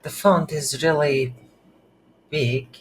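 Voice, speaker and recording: A tutorial instructor thinking aloud in a halting, unscripted way while demonstrating game development on a computer. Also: medium pitch, steady tone. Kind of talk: unscripted screen-recorded software tutorial. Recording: background hiss, keyboard typing noise.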